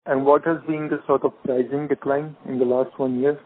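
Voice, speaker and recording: Male analyst, mid-pitched, polite questioner who stumbles a little, heard down a telephone connection. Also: level tone, moderate pace. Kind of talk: earnings call questioning. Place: conference call. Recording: thin phone line.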